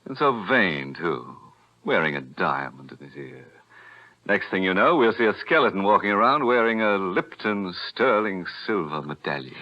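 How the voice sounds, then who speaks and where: A man's voice: low-pitched, sing-song, moderate pace. A man hosting a 1940s radio horror anthology with tongue-in-cheek, macabre humor. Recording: dull 1940s radio recording.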